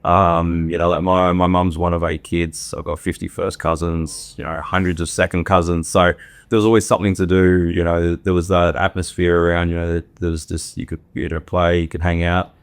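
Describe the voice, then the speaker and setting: A man from Australia with a very low, steady, fairly flat voice, recorded dry and close. He talks reflectively as a podcast guest, circling his points with fillers and restarts. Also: normal speed, no room sound. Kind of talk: casual interview talk.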